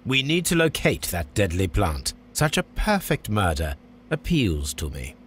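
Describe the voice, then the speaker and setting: Posh formal english detective in a game, low voice, swings around a lot, very sure of himself. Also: male voice, wildly swooping pitch, measured pace, a bit bright, breathy edge, exaggerated voice. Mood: self-assured. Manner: precise, formal, self-assured. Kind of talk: video game cutscene acting.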